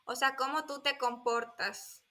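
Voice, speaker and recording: A woman's voice: high voice, fairly even intonation, measured pace, pushed and bright. A woman in her twenties from Colombia, a guest on a podcast, talking casually and often searching for her words. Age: 20s. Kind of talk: casual interview talk.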